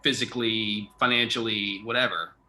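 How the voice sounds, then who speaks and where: Bright-voiced man hosting a casual chat. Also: on the low side, fairly even intonation, moderate pace. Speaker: interview host, theater artistic director.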